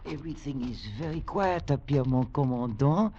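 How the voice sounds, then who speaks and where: An actor playing a dutiful, deferential subordinate soldier of the Foreign Legion in a 1940s radio drama. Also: male voice, medium pitch, normal speed, warm tone, close to an everyday voice. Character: dutiful subordinate legionnaire reporting to his commandant. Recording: dull, noisy 1940s radio recording.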